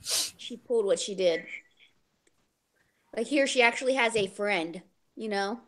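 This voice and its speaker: A woman's voice: mid-range voice, unhurried. A woman in a casual group book discussion on a video call, joking bluntly and swearing freely as she steers the conversation. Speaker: book club discussion host.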